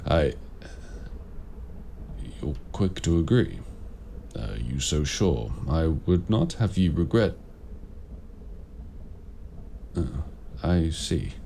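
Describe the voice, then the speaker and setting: A man playing a courteous, grave warlock mentor in raven form, speaking formally and deliberately in an audio roleplay. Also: very deep voice, lively intonation, gravelly, mellow, slight background noise, full character voice. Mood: cautious. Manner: formal, measured, deliberate phrasing. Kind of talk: audio roleplay addressed to the listener.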